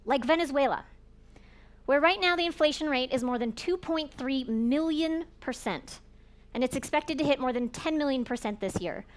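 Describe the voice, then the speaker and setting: A woman's voice: sits high, normal speed. A woman giving an earnest, explanatory presentation, speaking directly to her audience. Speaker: conference speaker. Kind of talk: presentation talk.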